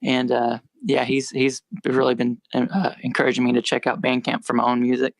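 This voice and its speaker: Soft-spoken young man rambling about music. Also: medium pitch, normal speed, gravelly, no echo. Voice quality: quiet voice. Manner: rambling, self-correcting, relaxed. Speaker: musician guest. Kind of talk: casual podcast interview.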